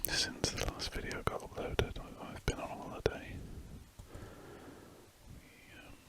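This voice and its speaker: Soft ASMR whisper. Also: measured pace. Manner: soft unvoiced whisper, rambling and relaxed. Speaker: ASMR drawing channel host. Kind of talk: whispered ASMR chat while drawing.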